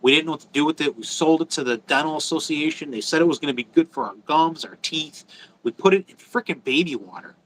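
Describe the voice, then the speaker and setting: A man's voice: fairly high pitch, expressive, quick pace, a bit bright, a little hiss or hum, low-quality recording. A man talking casually and bluntly to viewers as a livestream host, rambling through opinions, repeating phrases for stress and often swearing.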